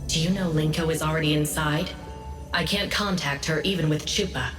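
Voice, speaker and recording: A woman playing a composed intelligence analyst in a video game, giving briefings in a measured, serious, informative way. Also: low-pitched, fairly even intonation, natural voice. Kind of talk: scripted game dialogue. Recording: echoey hall, distant, faint music behind.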